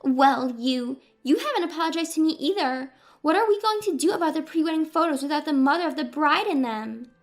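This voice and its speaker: Woman voicing an indignant, spiteful high-voiced mother. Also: expressive, fairly quick, few pauses, soft background music. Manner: indignant, accusatory. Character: spiteful, scornful, self-righteous middle-aged mother.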